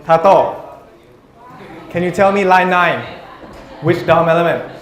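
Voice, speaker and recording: A man's voice: sits high, a little slow. A male university lecturer teaching a class, teasing students and calling on them by name. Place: lecture room. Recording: echoey lecture room.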